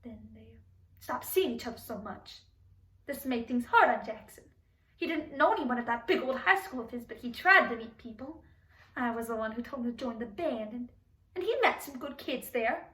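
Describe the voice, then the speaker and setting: Grieving, doting mother, played by a woman, dramatic monologue. High pitch, halting and defensive, heard at a distance from the mic. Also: expressive, a bit bright. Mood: defensive, sad. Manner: halting, pleading, stammering. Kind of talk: dramatic monologue for speech competition. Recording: echoey room, distant microphone.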